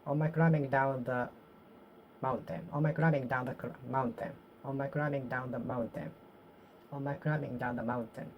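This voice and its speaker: A Japanese woman with a Japanese accent practicing English aloud to herself, halting and repeating phrases as she corrects them. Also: very deep voice, fairly even intonation, muffled recording, slight background noise. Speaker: vlogger. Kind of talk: solo English speaking practice, talking to herself.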